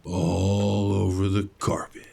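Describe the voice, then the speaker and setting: An ancient, forlorn ornament from a radio Christmas tale. The voice is low and full of gravelly creak, husky and broken. It drags out each ominous phrase very slowly. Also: male voice, level tone, warm tone, heavily acted. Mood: ominous warning. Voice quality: raspy, croaking, broken old voice. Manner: slow, halting, breathy. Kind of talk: radio story character acting.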